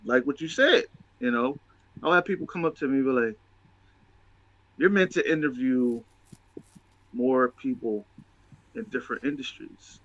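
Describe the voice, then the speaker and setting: A man's voice: medium pitch, moderate pace, pauses often, fairly bright tone, no echo, dull audio. A man talking casually and motivationally as a show host, emphatic and testifying, repeating phrases for stress.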